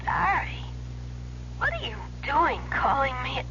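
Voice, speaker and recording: A drowsy, breathy young woman. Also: high-pitched, wildly swooping pitch, slow pace, heavily acted. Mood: sleepy annoyed. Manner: drowsy, breathy, half-whispered. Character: young woman woken by a late call. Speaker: actress in radio drama. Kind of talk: 1970s radio drama acting. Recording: muffled old radio with hiss, off-mic.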